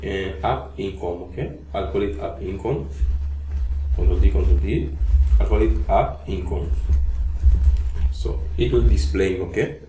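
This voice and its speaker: A man's voice: low-pitched, unhurried, pauses often, dark tone. A man whose first language is Portuguese, walking through a coding tutorial step by step, checking in with "okay?" after nearly every step. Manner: narrates each step, frequent "okay?" check-ins and "uh" fillers. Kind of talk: screen-recorded coding tutorial. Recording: echoey room with faint hiss.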